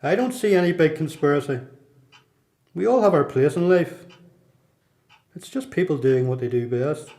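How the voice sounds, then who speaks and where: A man's voice: fairly high pitch, animated, moderate pace, pauses often, mellow. A man playing a matter-of-fact character in a radio play. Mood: dismissive. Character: matter-of-fact man accepting the order of things. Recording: echoey room.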